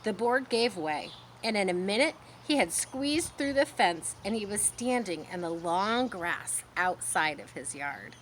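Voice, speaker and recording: A woman reading a children's story aloud expressively, pausing to explain words in simple asides. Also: medium pitch, animated, fairly bright tone, slight background noise. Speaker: storybook reader.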